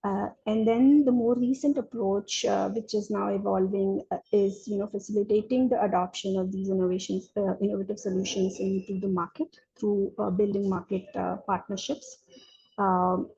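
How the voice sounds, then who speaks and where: A woman's voice: mid-range voice, little pitch movement, normal speed, gravelly, warm tone, husky. A woman giving a webinar presentation over a video call, hesitant and full of fillers. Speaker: incubator speaker. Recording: dull lo-fi call audio.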